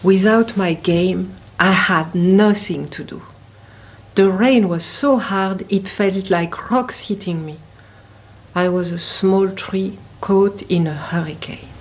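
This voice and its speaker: A woman reading a children's story aloud expressively, with deliberate, dramatic emphasis on key words. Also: medium pitch, a little hiss or hum, low-quality recording. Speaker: picture book reader at a library.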